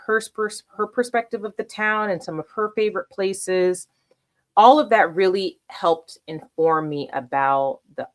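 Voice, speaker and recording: A woman in her thirties from South Florida, talking casually to camera as an artist hosting a how-to series, chatty and personal, often checking in with the listener. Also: fairly low pitch.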